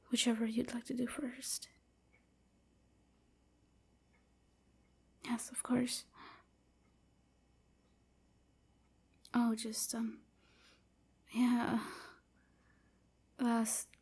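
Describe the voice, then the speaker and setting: Woman acting as timid vampire slave, anxious, apologetic, hushed and stammering, slow pace, flat intonation, intimate audio roleplay. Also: on the high side, full character voice. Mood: meek, apologetic, then anxious, evasive. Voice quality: soft, breathy, often whispery. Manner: hesitant, stammering, hushed and breathy.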